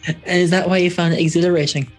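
A man's voice: high-pitched, level tone, fast talker, faint music behind. Male podcast host, casual interviewer.

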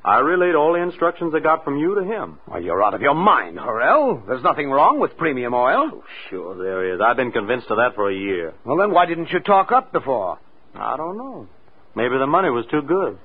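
An actor playing a shady, tense schemer in a 1940s radio crime drama. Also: male voice, mid-range voice, big pitch swings, moderate pace, slightly rough. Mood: tense, arguing. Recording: tinny vintage radio recording.

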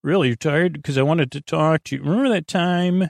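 Guy doing a nagging little robot helper, eager and full of itself, creaky, close dry sound. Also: a little high, fairly quick, no room sound, pitched above the performer's own voice. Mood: pestering. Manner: pestering, insistent, repetitive questions. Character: nagging little brain-bot droid, eager and self-important.

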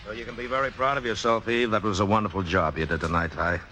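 Low-pitched and forceful, a frank man who directs in the theater. He talks conversationally with small hesitations and gentle amusement, in 1950s radio drama style. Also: steady tone, fast, soft background music. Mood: encouraging.

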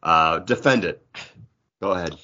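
A man chatting casually about comic books as a podcast host, with an animated, bantering way of talking. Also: low voice, unhurried, dull audio. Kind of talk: casual comic book chat.

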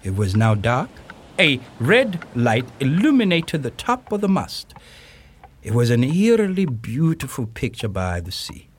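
An actor playing a proud circus troupe director, recounting a high-wire act in an audio play with suspenseful, emphatic pauses. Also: male voice, wildly swooping pitch, a little hiss or hum. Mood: suspenseful, grave. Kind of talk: dramatic first-person storytelling in an audio play.

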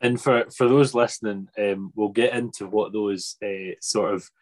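A man's voice: fairly low pitch, fairly even intonation, moderate pace, a little mellow. A man hosting a casual interview podcast in a chatty, rambling way, often breaking into his own sentences. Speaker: podcast host.

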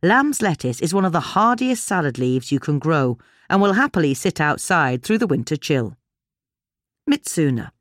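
A woman's voice: low-pitched, big pitch swings, normal speed, dry recording, clean studio sound. A woman with a British accent reading a scripted article as a narrator.

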